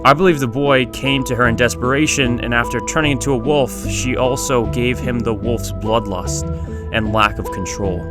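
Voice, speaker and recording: A man narrates a scripted video essay at a medium pitch. Guitar music plays clearly behind his voice as he presents as the host. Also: moderate pace.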